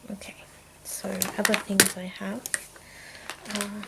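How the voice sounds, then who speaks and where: A woman hosting an ASMR video in a breathy, often whispered voice, speaking haltingly in short phrases as she shows off products. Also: medium pitch, flat delivery. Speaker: video host. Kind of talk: ASMR, whispered and soft-spoken product show-and-tell. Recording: very noisy, with clicks and crinkling. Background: tapping, clicking and crinkling of handled objects.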